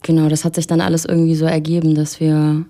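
A young woman from Erfurt speaks with a low, mellow voice in a radio studio. She is a musician giving an interview, talking in a relaxed, unhurried way with frequent fillers and small restarts. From Erfurt, Germany. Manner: relaxed, hesitant with many fillers. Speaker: musician guest.

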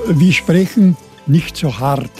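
An older man from Burgenland in Austria, with a faint Croatian-tinged Austrian German accent, answering interview questions in a halting way with many restarts. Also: fairly high pitch, music in the background. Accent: Burgenland Croatian-influenced Austrian German.

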